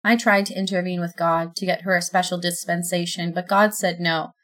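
A woman voicing Death, an ageless, solemn being who speaks in a flat, even tone. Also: on the low side, fairly quick, lightly acted. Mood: flat, gentle.

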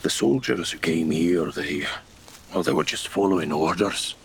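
An actor playing an elderly, gruff great-grandfather and retired railwayman in an audio drama, speaking in folksy, old-fashioned phrases. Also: low voice, lively intonation, moderate pace, heavily acted. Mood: earnest, defensive. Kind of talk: naturalistic audio drama acting.

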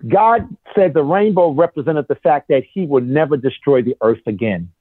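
A man's voice: fairly high pitch, expressive, moderate pace, slightly rough, dry recording, phone-quality sound. A man in impassioned conversation, emphatic and rhetorical, leaning on repeated phrases.

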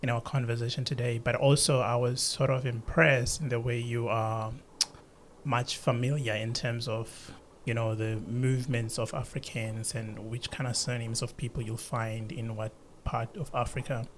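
A man's voice: medium pitch, level tone, moderate pace, slight background noise. Chatty male podcast host. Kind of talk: conversational interview hosting.

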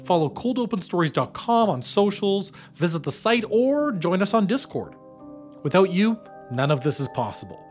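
A man's voice: high-pitched, very animated, moderate pace, darkish tone, soft background music. A man presenting a scripted promotional outro and cast credits for an audio production.

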